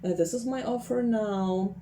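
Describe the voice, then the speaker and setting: A woman's voice: medium pitch, unhurried, warm tone, a little hiss or hum, poor audio. A woman from Poland, chatting casually through a tarot card reading, laughing often and searching for English words. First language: Polish. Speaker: tarot reader.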